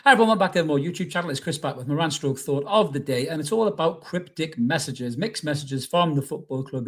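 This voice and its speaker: Fast-talking, husky man chatting about football. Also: on the high side. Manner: chatty, rambling, rhetorical questions, blunt swearing. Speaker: YouTube football channel host. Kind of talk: casual opinionated fan commentary to camera.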